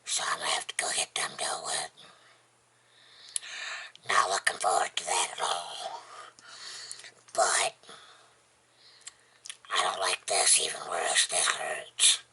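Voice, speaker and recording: A vlogger with a husky, breathy, gravelly voice, chatting casually in a rambling, folksy, plainspoken and unhurried way. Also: sits low, steady tone, sharp tone. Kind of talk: casual rambling chat to camera.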